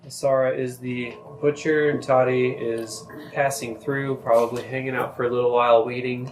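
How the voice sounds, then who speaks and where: A man narrating as game master, describing scenes in a relaxed way with many pauses and fillers. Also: medium pitch. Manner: relaxed, many ums and uhs. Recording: echoey room with faint noise.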